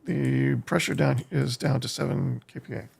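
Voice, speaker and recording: Man, American accent, very gravelly with heavy fry, husky, medium pitch, casual game streamer, halting. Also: darkish tone. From United States. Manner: halting, thinking aloud. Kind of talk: casual live gameplay commentary. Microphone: streaming desk microphone.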